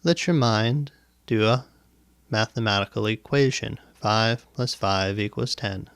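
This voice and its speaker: A man guiding a meditation as a teacher, speaking in deliberate, instructive phrases with pauses and repetition, close to the microphone. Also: fairly low pitch, a bit bright, clear, resonant tone, no room sound. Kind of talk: guided meditation, spoken instruction. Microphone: close microphone, dry sound.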